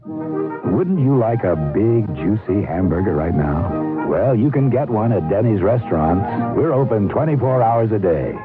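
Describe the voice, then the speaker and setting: A man's voice: fairly low pitch, very animated, a little slow. An American man reading a scripted radio advertisement as an announcer. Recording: narrow-band old radio ad over music.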